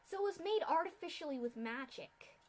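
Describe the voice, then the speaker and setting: A woman voicing a cold, masked female pegasus official who lectures loudly in a storybook reading. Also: very high voice, animated, moderate pace, pitched above the performer's own voice. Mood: lecturing. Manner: lecturing, loud.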